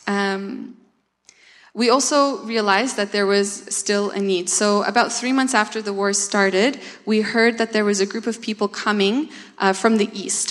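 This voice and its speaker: A woman with a mid-range voice gives a church mission update, plainspoken and earnest, thinking aloud as she goes. The sound carries a long reverb from a church hall. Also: medium pitch. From Canada. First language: Russian. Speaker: campus ministry worker.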